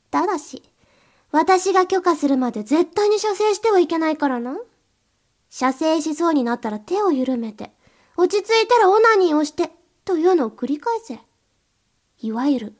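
A woman playing a cold, domineering mistress in an erotic audio drama, giving curt, contemptuous orders to the listener. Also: extremely high pitch.

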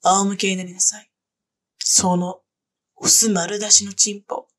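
A woman's voice: medium pitch, level tone, a little slow, fairly bright tone. A woman playing a haughty, contemptuous young dominatrix who speaks in a bossy, scornful voice as she gives commands in an adult audio drama. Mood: scornful, commanding.

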